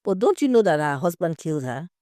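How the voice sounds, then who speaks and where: Woman acting brash young shop assistant, indignant, gossipy, low mellow voice, audio story. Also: sing-song, fast, no room sound, clean studio sound.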